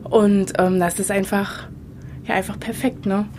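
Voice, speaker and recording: A woman in her thirties from Potsdam speaks in a relaxed, chatty way, often pausing on fillers. Her voice is medium in pitch, and she is being interviewed outdoors. Faint noise is heard behind her. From Potsdam, Germany. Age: 30s. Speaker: interviewee, local resident. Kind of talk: casual street interview. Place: outdoors in a residential area.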